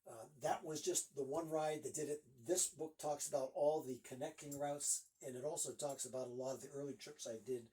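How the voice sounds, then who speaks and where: A man's voice: fairly high pitch, fairly even intonation, brisk, hardly any pauses, dark tone, recorded from a distance, poor audio. A man in his sixties from Northeast Ohio, a guest author chatting casually in an interview and rambling with lots of fillers.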